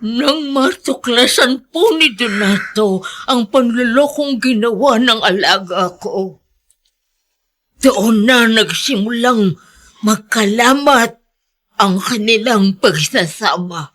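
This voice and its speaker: Older filipina nanny in a radio drama, high bright voice, spilling a painful secret. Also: female voice, slow. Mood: sorrowful, earnest. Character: earnest older nursemaid revealing a painful family secret.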